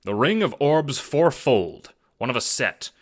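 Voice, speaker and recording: An actor playing a cocky, egotistical gangster adventurer with jovial old-time gangster talk in an audio drama. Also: male voice, mid-range voice, big pitch swings, measured pace. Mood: eager, scheming. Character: scheming, brash, egotistical male gangster adventurer.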